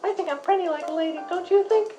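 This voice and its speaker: A woman's voice: very high-pitched, fast talker, dark tone, faint music behind. A woman playing a blunt, indignant nine-year-old girl in an acted radio interview. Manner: blunt, childlike, argumentative.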